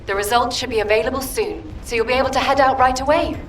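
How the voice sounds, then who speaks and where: A woman's voice: sits high, quick pace, fairly bright tone, slight background noise. A woman playing an earnest, playfully teasing young operations officer in a sci-fi video game, speaking articulately and expressively. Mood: focused, professional.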